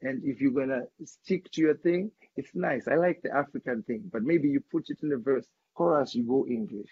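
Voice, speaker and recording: A man from Zimbabwe, a guest artist talking casually in an interview, chatty and quick to repeat himself. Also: mid-range voice, a little mellow, a little hiss or hum. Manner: chatty, repeats words, says bro a lot. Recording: dull lo-fi sound.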